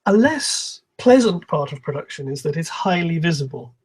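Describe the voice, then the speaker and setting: A man giving an explanatory technical conference talk in a calm, measured manner, with a slightly husky voice. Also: a little high. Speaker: conference speaker, software engineer.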